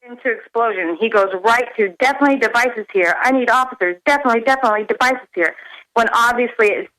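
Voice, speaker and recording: A woman calling in to a radio show, giving an earnest, emphatic and deliberate account in her own words. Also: on the high side, a bit bright, poor audio.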